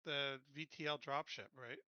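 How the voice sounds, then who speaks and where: A man's voice: medium pitch, fairly even intonation, normal speed, bright, forceful tone, dry recording, lo-fi sound. A man playing a tabletop game, casually talking through tactics with the group.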